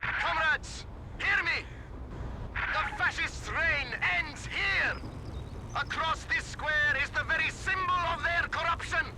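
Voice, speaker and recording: An actor playing a zealous Soviet commander, giving intense, declamatory battle orders in a war video game. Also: male voice, very high-pitched, takes it slowly. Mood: fiery, rallying. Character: fiery, zealous Soviet commander rallying troops. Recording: loud battle noise, gunfire, artillery.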